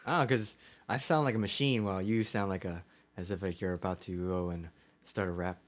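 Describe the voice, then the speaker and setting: A man's voice: low-pitched, steady tone, a little fast, a bit bright. A man co-hosting a casual chat podcast, making dry, self-mocking jokes, recorded on a cheap makeshift mic. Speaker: podcast co-host.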